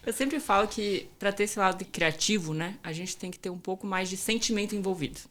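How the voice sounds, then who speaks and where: A woman hosts a casual podcast interview. Her mid-pitched voice sounds upbeat and chatty as she warmly draws out her guest. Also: a little fast. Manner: upbeat, chatty, uses informal "tu". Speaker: podcast host.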